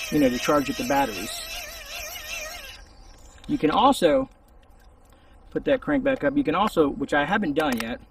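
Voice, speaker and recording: Gravelly, higher-pitched man rambling casually. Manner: rambling, informal, conversational. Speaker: host of a gear review channel. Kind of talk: casual unscripted product review to camera. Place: outdoors in a shaded spot. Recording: distant mic with faint outdoor noise.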